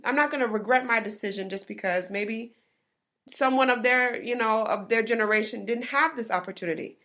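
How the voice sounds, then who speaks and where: Bright-voiced woman talk show host. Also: fairly high pitch. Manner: laughs easily, repeats phrases for emphasis. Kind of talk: casual talk show chat.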